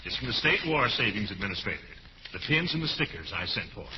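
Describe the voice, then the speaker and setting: A man's voice: lowish voice, very animated, fast. A man playing a pompous, blustery, chuckling middle-aged uncle in a 1940s radio comedy. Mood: jovial, proud. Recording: noisy, muffled old radio recording.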